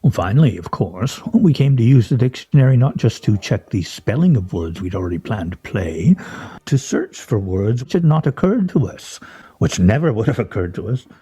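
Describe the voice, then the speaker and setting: A man's voice: on the low side, sing-song, normal speed, mellow, husky, exaggerated voice. An actor in a radio play voicing a pompous, sardonic man who speaks in grandiose, mock-serious style. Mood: wry, grandiose. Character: pompous, sardonic, theatrical man at a scrabble game.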